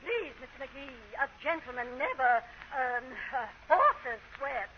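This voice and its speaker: An old, slightly noisy radio broadcast features a pompous clubwoman. Her very high voice swoops about in affected, genteel phrases. She is fluttery and easily flustered, in broad 1930s comedy style. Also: big pitch swings, unhurried, muffled recording.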